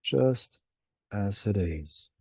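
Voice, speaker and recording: A man leading a guided meditation in a hushed, unhurried manner with long pauses between short phrases. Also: fairly low pitch, expressive, gravelly, breathy edge, dry recording.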